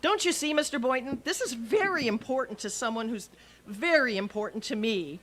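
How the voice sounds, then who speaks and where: A woman playing a quick-witted schoolteacher with a dry, arch manner in an old-time radio comedy. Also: on the high side, animated, a bit bright. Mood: wry, pleading. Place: live stage performance at a radio history event.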